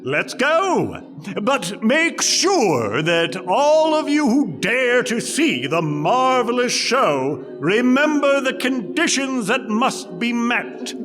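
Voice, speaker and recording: An actress playing a grandiose, flattering puppet-show charlatan, formal and declamatory, in a comic period play. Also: female voice, fairly high pitch, takes it slowly, full character voice. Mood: portentous. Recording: reverberant hall, music behind.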